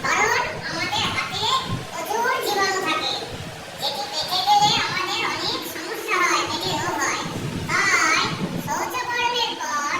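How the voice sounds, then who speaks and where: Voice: very high-pitched, a little slow. A presenter giving a scripted awareness talk for a school puppet show, instructing children in a didactic way. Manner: didactic, instructing children and checking they understand. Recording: distant microphone with clear background noise.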